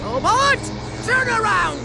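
A man voicing a small, petulant megalomaniac villain in a game cutscene, nasal and shrill, ranting pompously. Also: very animated, slow, gravelly, very noisy, full character voice. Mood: indignant commanding. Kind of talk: animated video game cutscene acting.

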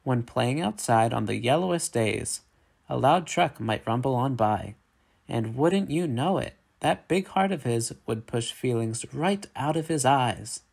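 Man reading a picture book, creaky voice. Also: medium pitch, animated, measured pace, darkish tone. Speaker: reader of a children's picture book. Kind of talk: read-aloud storytelling.

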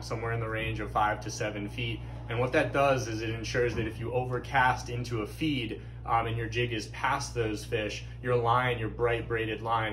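A man, giving a steady, practical fishing report from inside a tackle shop. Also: on the low side, slight background noise, poor audio. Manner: steady, practical, product-focused.

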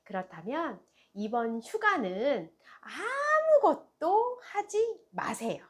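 Middle-aged female host, daycare director giving parents soft advice; a bit high, slow and melodic. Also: sing-song. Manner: slow, gentle, sing-song delivery. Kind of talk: warm advice talk to parents.

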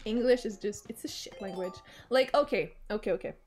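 A young woman with a French Canadian accent, whose first language is French, chatting casually and excitably while streaming a game, swearing freely and humming to herself. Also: medium pitch, fairly quick. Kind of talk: casual live-stream chat while gaming. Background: faint game music.

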